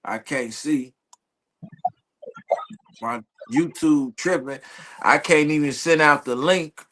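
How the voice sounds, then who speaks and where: A man's voice: fairly high pitch, slow pace, pushed and bright, slight background noise. A man co-hosting a casual livestream, talking bluntly and tough.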